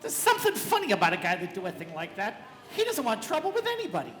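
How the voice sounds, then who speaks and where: An actress playing a gossipy party guest in a radio play, eager and conspiratorial. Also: female voice, fairly high pitch, huge pitch swings, fast talker, fairly bright tone. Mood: excited gossip. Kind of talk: live radio play acting. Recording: echoey live hall.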